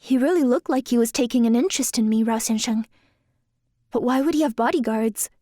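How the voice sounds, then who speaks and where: A woman's voice: on the high side, brisk, warm tone, dry recording, clean studio sound, lightly acted. A woman playing an earnest, polite young magical dancer in an audio drama, with a sincere, careful, courteous delivery. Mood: sincere, curious.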